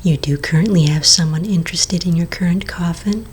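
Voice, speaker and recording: A woman voicing a courteous vampire real estate agent in a soft-spoken, mostly whispered ASMR roleplay, polite, unhurried and attentive. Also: lowish voice, slight background noise, lightly acted. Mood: soothing, helpful.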